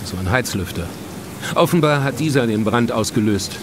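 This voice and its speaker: An actor playing a grudging, matter-of-fact police inspector in a German crime audio drama, dry and businesslike. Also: male voice, medium pitch, lively intonation, moderate pace, background noise, close to an everyday voice. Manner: dry, businesslike, disdainful toward outsiders.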